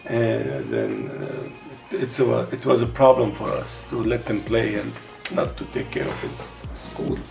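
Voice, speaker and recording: A man's voice: medium pitch, big pitch swings, measured pace, vocal fry, few pauses, a little mellow, faint music behind. A man speaking as a parent, answering interview questions in a plain-spoken, matter-of-fact way. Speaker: parent of the player.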